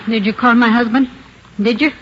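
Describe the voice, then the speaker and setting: Brassy, defiant murder suspect, old radio. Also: female voice, on the high side, fairly even intonation, moderate pace, fairly bright tone. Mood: anxious. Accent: American. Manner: brassy, defensive, slangy. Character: defiant, brash married woman accused of murder. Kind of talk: 1950s radio crime drama acting. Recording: dull lo-fi old-time radio recording.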